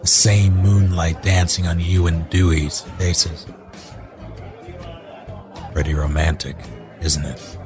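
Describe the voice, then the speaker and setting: Very deep, slow, sardonic actor as husband. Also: male voice, little pitch movement, music in the background, crowd noise. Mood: bitter taunting. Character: brooding, sardonic, bitter husband in a horror drama. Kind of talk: audio drama acting.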